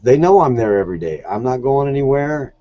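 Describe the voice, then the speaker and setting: A man's voice: medium pitch, animated, brisk, dry recording, dull audio. A man talking casually as an interview guest, telling stories in a plain-spoken, earnest way. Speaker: podcast guest, shelter dog volunteer.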